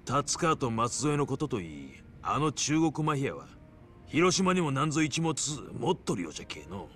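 A man's voice: medium pitch, sing-song, moderate pace, a bit bright, soft background music. A man playing a blunt, anxious yakuza with a Hiroshima accent in a video game drama. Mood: worried.

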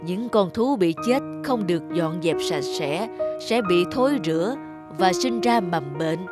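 A woman voicing a wise, patient old male tree spirit who teaches in a measured way in an animated fable. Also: on the low side, loud music behind the voice. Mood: grave, explaining. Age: older. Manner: measured, didactic, gently instructive.

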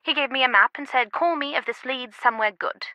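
Wry female artifact expert, adventure game dialogue, higher pitch, crisp bright edge, confiding, conversational. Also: a little fast, no room sound. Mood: matter-of-fact, confiding. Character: worldly, wry woman antiquities expert confiding in a friend. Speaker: video game voice actor.

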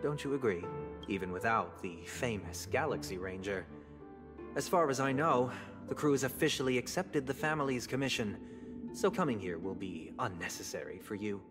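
An actor playing a polished, haughty young head of a powerful family in a video game, formal and coolly reproachful. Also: male voice, fairly low pitch, moderate pace, natural voice. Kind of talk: voiced video game dialogue. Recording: reverberant game audio under music.

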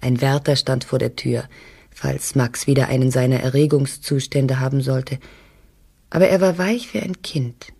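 An actress playing a troubled, tender wife who confides softly and wistfully in a letter, with a husky voice, in a radio drama. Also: female voice, very deep voice, fairly even intonation, moderate pace, exaggerated voice.

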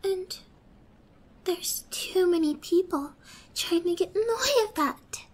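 A woman playing a young, obsessed, possessive girlfriend in an ASMR roleplay, sweet yet menacing, with a soft girlish voice and a coy, drawn-out delivery. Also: very high-pitched, lively intonation, full character voice. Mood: coy, gentle. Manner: slow, coy, drawn-out, slipping into whispers.

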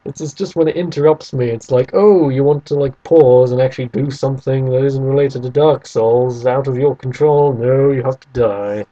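A man's voice: mid-range voice, steady tone, moderate pace, hardly any pauses, dark tone, highs cut off. A man chatting casually as a podcast co-host, bantering and arguing his point. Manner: bantering, argumentative. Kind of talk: casual debating chat.

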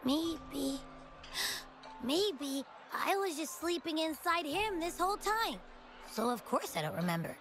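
A woman's voice: high voice, very animated, slow, a bit bright, full character voice. A woman voicing a small, cute, demanding creature in a video game, with a petulant childlike delivery. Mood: smug. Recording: music behind.

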